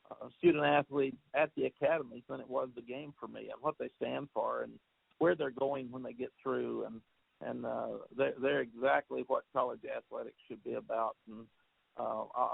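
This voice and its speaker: A football coach talks over a tinny phone line in a very gravelly, husky voice. He rambles, repeating words and filling gaps with "uh". The sound is dry and close. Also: mid-range voice, steady tone, normal speed, no echo. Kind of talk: press conference call answers.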